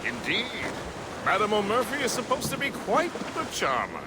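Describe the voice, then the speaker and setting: An actor playing a jovial, tipsy, flowery-tongued gentleman companion in a video game. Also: high voice, normal speed, very noisy. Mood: amused, chatty. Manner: animated, flowery.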